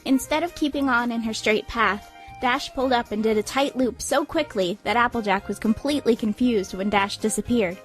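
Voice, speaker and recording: A woman's voice: on the high side, normal speed, soft background music. A woman reading scripted story narration as the narrator of an audio drama.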